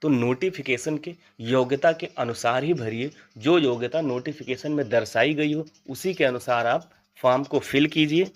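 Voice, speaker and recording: A man with a medium-pitched voice speaks as the host of an informal update channel. He explains matters to his viewers in an earnest, advisory way. He often restates his points to stress them. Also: moderate pace, few pauses.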